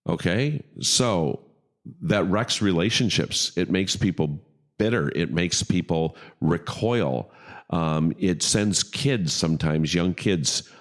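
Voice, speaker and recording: A man's voice: low voice, expressive, slow. A middle-aged man with a big voice, a pastor hosting a casual podcast chat and talking emphatically, often checking in with "okay?".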